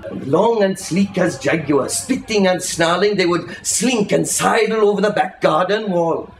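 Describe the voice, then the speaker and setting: Male literary reader: expressive, theatrical, savours phrases; roomy live recording with faint noise behind. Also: high-pitched, unhurried. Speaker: reader, storyteller. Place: live reading in an indoor shop. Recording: echoey room with faint background noise.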